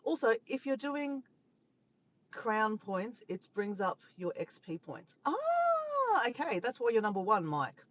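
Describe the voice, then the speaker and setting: A woman's voice: on the high side, huge pitch swings, slow, muffled recording, noisy background. An Australian woman live-streaming in casual chat, rambling and laughing as she reads out viewers' comments. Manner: chatty, rambling, laughs often, greets viewers.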